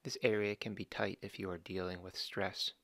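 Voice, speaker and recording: Male meditation guide, low gravelly voice, dry close mic, slow calm instructions. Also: no room sound. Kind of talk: guided body scan meditation.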